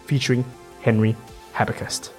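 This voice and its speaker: A man with a mid-pitched voice hosts a podcast, reading an introduction before moving into conversation with his guest. He talks in a friendly way and peppers his questions with "uh," "like," and "right?". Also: flat delivery, normal speed, soft background music. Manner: friendly, many fillers like "uh", "right?" and "like". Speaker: podcast host. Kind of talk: scripted intro, then casual interview.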